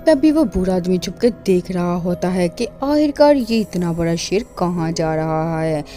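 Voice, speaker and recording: A woman narrates a children's cartoon story in scripted style. Her voice sits a little low. Loud music plays behind her throughout. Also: animated, measured pace.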